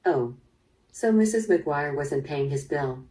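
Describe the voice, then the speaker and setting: Female police interrogator: low, dark voice, businesslike and probing, radio-drama style, a bit off-mic. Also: lively intonation, slow. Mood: probing, measured. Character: probing female police detective questioning a murder suspect. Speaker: voice actor in interactive audio drama. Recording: played through speaker, slightly distant.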